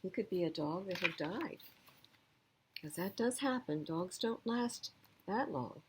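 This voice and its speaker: A woman reading a storybook aloud to children, expressive, pausing for simple explanatory asides. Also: lowish voice, background noise. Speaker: storytime reader.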